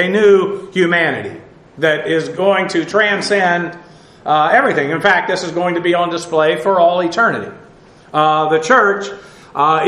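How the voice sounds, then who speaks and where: A man with a notably high voice teaches through a sermon verse by verse, pausing often on fillers and restarting his phrases. He is recorded in a reverberant church hall. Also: sharp tone. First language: English. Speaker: pastor. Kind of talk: expository sermon. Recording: echoey room.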